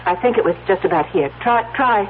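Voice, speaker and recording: A woman's voice: medium pitch, expressive, quick pace, close to an everyday voice. An actress plays an anxious, tender wife in a vintage radio melodrama. She speaks emotionally and theatrically. The sound is narrow and tinny, over a faint hum. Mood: guilty, anxious. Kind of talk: old-time American radio drama acting.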